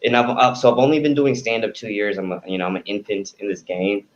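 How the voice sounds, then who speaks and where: Male newer comic, deeper voice, conversational, muffled low-fidelity recording. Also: fairly even intonation, fast. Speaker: newer stand-up comedian. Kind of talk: casual discussion. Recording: dull lo-fi sound with faint noise.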